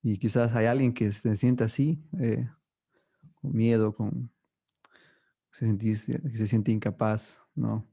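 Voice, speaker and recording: A man's voice: on the low side, slow, vocal fry, pauses often, breathy edge. A man hosting a faith podcast in casual chat, hesitant and full of fillers. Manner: relaxed, hesitant, many fillers like "bueno" and "¿no?". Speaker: podcast host.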